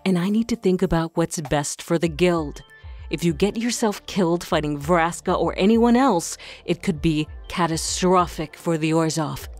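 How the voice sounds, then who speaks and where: A woman playing a weary, cautious, pragmatic adviser in a fantasy audio drama, speaking in a measured, insistent way. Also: fairly low pitch, a little mellow, faint music behind, natural voice. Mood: resigned, cautious.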